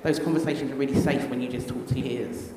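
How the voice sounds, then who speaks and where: A woman speaking on a panel, soft-spoken and restarting her phrases. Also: extremely low pitch, fairly even intonation, fast, slight background noise. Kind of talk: panel discussion. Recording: very echoey hall.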